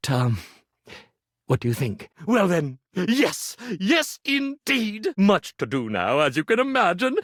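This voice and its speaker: A flamboyant stage magician for a video game, with a highish, slightly husky male voice that leaps and dives dramatically. He is pompous and vain with an anxious streak, relishing every grand flourish. The recording is dry and close. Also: fairly bright tone, no room sound, full character voice. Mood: grateful, excited. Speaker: magician and writer. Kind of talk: theatrical video game character acting.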